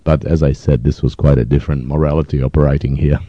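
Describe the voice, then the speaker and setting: A man in his fifties, from Poland and a native Yiddish speaker, talks through his memories slowly and thoughtfully, often pausing to find the right word. His voice is extremely deep and dark. It sounds dry and muffled, as on an old dull recording. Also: level tone, no echo. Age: 50s. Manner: thoughtful, hesitant, with frequent pauses and fillers. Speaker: interviewee. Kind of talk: reflective oral history interview.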